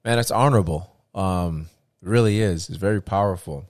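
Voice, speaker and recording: A man with a very low voice talks through a casual chat and keeps circling back on himself with fillers and restarts. The sound is dry and close, with no room around it. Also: a little fast, no room sound. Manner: rambling, animated, many restarts and fillers.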